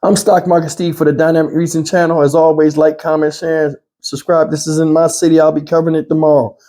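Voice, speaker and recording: Male online commentator, high but soft-edged voice, speaking off the cuff with pleading exasperation. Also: flat delivery, quick pace. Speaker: YouTube news commentator. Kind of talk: off-the-cuff opinion commentary to viewers.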